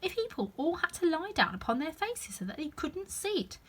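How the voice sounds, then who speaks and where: A woman's voice: sits high, very animated, brisk, pitched above the performer's own voice. A woman voicing a polite, timid but curious little girl in a storybook, light and well-mannered. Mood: pondering.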